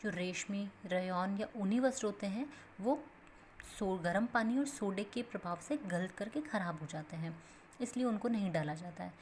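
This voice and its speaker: A woman teaching a home science lesson, explaining step by step in a steady, patient way while mixing in English terms. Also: medium pitch, normal speed, a little hiss or hum. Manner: steady explanatory, repeats points in plain steps, mixes English terms. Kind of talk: explaining a textbook page as a lesson.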